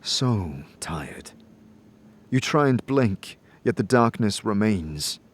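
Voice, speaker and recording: A man narrating a dramatic second-person story as a game master, in a measured, deliberate, atmospheric manner. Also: fairly low pitch, animated, husky. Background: music.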